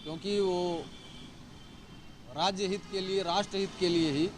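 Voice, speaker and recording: A man's voice: high voice, fairly even intonation, measured pace, noisy background. A man giving a formal spoken statement as an interviewee in a news report.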